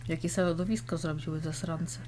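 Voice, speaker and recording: Low-voiced young woman hosting gameplay. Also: level tone, fast. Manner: informal, slangy. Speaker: host of gaming video. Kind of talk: casual gaming chat. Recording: faint background noise.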